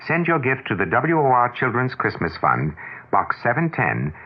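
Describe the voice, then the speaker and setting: Male American radio announcer: earnest charity appeal, lively intonation, dull vintage broadcast sound. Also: mid-range voice, moderate pace, husky. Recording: muffled 1970s radio broadcast.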